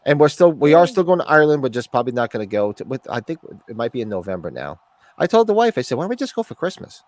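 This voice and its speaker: A man's voice: medium pitch, big pitch swings, rapid-fire, darkish tone, no room sound. A man in his forties with a sniffly voice from allergies, chatting casually, rambling and swearing as he jokes. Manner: profane, rambling, joking. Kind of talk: casual chat.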